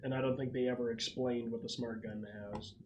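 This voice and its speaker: A man's voice: medium pitch, flat delivery, brisk, slight background noise, rough recording. A man running a casual tabletop roleplaying game among friends as game master, explaining things informally with some banter.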